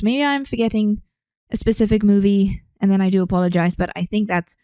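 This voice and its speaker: A woman's voice: fairly high pitch, no room sound, studio quality. A young woman, chatting casually and rambling through her own podcast. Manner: chatty, rambling, with frequent restarts. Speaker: podcast host. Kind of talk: casual solo podcast chat.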